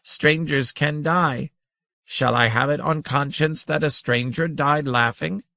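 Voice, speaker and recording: A man's voice: on the high side, a little slow, vocal fry, bright, forceful tone, no echo, studio quality, voice pushed up, exaggerated voice. A man voicing a tiny, ancient, giggling female creature who teases and chitters playfully. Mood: teasing. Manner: tinkling, chittering, teasing.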